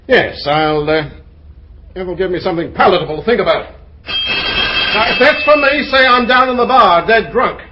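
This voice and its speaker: A man's voice: high voice, animated, moderate pace, long pauses, background noise. An actor playing an exasperated, blustering middle-aged father who likes a drink, in old-time radio comedy style. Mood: glum, sardonic.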